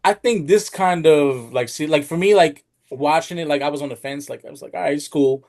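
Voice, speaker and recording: A man's voice: fairly high pitch, a little fast, a little mellow. A man hosting a podcast, steering the talk in a casual, conversational style. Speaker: podcast host who steers the discussion. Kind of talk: casual chat and reading out a plot summary.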